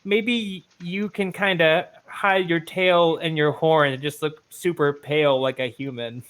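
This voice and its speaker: Slow-talking, higher-voiced man gaming. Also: steady tone, fairly bright tone, clear, resonant tone. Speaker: tabletop game player. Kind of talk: casual in-character game talk.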